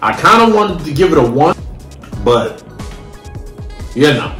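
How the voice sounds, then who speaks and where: A man's voice: a little high, sing-song, unhurried, fairly bright tone. An American man in his thirties chatting casually and emphatically in slang as he reviews food on camera. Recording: roomy home recording with faint music.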